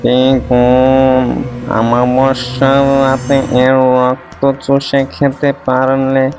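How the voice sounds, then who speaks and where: Wicked man-eating witch for horror cartoon, played by a man: unhurried, scheming, menacing, over music. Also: mid-range voice, completely flat delivery. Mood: scheming, gloating. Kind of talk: Bengali horror cartoon voice acting.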